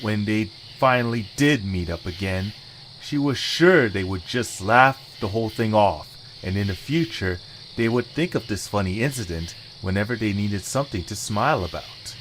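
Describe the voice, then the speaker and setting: Male narrator for scripted story, lowish voice, faint background noise. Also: moderate pace, a bit bright, poor audio.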